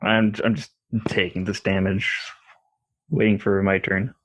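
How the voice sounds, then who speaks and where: A man chatting casually as a tabletop game player, halting and often repeating his words. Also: on the low side, fairly even intonation, no echo, muffled recording.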